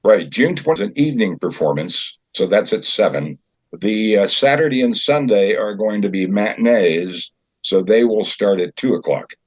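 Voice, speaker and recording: A man explaining a theater show as its director in an interview, in a conversational, halting way. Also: fairly low pitch, animated, slightly rough, no echo. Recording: dull, lo-fi sound.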